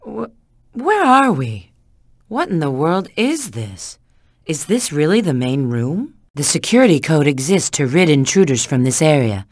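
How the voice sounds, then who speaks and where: A woman's voice: low voice, very animated, unhurried, no echo, clean studio sound, heavily acted. A woman voicing a sly, flirtatious, confident treasure-hunting agent in a video game, giving hints and callouts with teasing assurance. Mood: exasperated, startled.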